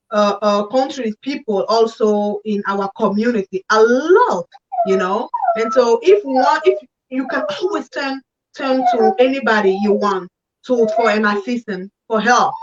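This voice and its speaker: A woman's voice: fairly high pitch, far from the mic, lo-fi sound. A woman with a French accent, a business coach speaking earnestly in an interview, often repeating words. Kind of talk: interview over video call.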